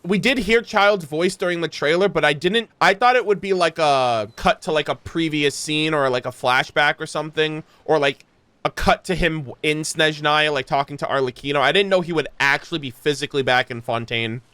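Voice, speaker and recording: A man doing casual, excitable gaming commentary for a stream, full of slang and swearing. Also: fairly high pitch, moderate pace, clean tone, dry recording. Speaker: streamer playing and reacting to a video game.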